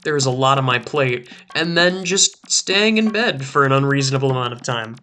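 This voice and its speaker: Young man, let's-play host, rambly and self-interrupting, recovering throat, soft game music behind. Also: medium pitch, lively intonation, moderate pace. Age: young adult. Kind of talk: casual gameplay commentary. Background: faint game music.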